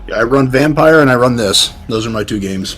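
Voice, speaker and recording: A man's voice: mid-range voice, expressive, fairly quick, fairly bright tone. A man taking part as a player, chatting casually and explaining things in a matter-of-fact way. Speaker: player and game writer. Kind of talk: casual chat.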